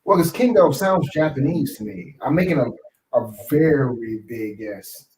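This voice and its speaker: A man's voice: mid-range voice, lively intonation, moderate pace, a little mellow, reverberant, distant mic, a little hiss or hum, rough recording. A man co-hosting a casual live-streamed movie chat, joking playfully and teasing. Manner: joking, playful, drops into Spanish. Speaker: co-host.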